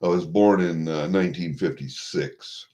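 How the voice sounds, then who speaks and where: Low-voiced Midwestern man in his sixties. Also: dark tone. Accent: Midwestern American. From Iowa, USA. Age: 60s. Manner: halting, blunt, salty-tongued. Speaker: vlogger. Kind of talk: rambling casual chat to viewers. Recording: dull lo-fi home recording.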